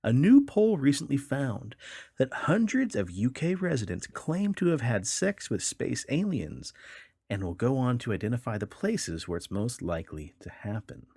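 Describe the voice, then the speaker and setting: A man's voice: mid-range voice, sing-song, normal speed, vocal fry, mellow, slightly rough, dry recording, studio quality. A man co-hosting a podcast, reading odd news stories aloud with casual, wry, joking commentary. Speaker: podcast co-host.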